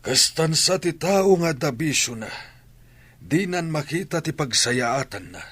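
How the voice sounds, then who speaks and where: A man playing a worried, grumbling older father with a rough, husky voice in an audio drama. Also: on the high side, expressive, a little slow, a bit bright, exaggerated voice. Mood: disapproving. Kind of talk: dramatic radio-drama dialogue.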